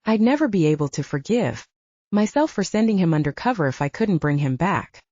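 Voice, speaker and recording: A woman narrating a first-person audiobook in a lively storytelling manner, lightly voicing the dialogue. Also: lowish voice, a little fast, no room sound, lo-fi sound.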